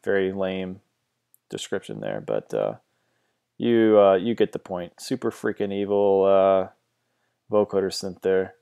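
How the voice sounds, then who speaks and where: A man giving a casual software tutorial, hesitant and full of ums and restarts, recorded on a mono home mic. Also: low voice, a little slow, vocal fry, smooth. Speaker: tutorial host.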